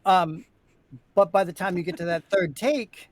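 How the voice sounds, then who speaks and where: Higher-voiced man in casual chat. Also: normal speed, no room sound. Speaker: voice actor. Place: indoors, on a group video call.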